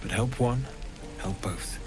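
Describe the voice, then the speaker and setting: An actor playing an earnest, inquisitive young blacksmith and tinkerer in a fantasy game, talking in a thoughtful, conversational way. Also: male voice, fairly low pitch, slow, rough recording. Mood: concerned, absorbed. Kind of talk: cinematic fantasy game acting. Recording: music behind the voice.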